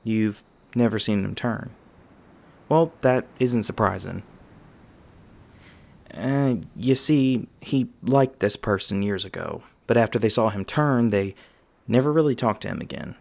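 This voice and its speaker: A man's voice: fairly low pitch, measured pace, gravelly, a little mellow. A man voicing a loyal young mage on lookout in an ASMR roleplay, talking in a casual, wry, reassuring way. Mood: reassuring, candid. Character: loyal, chatty young mage lookout, protective old friend.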